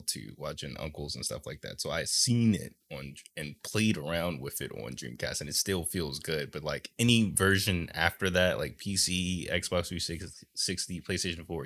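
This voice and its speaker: A man's voice: extremely low pitch, gravelly, sharp tone. A man co-hosting a podcast in casual, slangy chat, giving his opinions freely. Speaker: podcast co-host.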